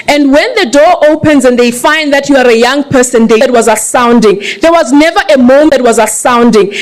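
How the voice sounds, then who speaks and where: A woman's voice: high-pitched, rough recording. A young South African woman with a South African accent giving a rousing conference speech, urging the audience to act. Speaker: youth agency speaker.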